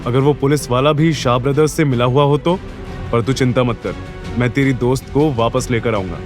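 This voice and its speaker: A man voicing a cocky, protective young hero with calm swagger in an audio drama. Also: mid-range voice, normal speed, over music, close to an everyday voice. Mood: calm, resolute. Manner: self-assured, reassuring, playful swagger. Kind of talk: Hindi audio drama acting.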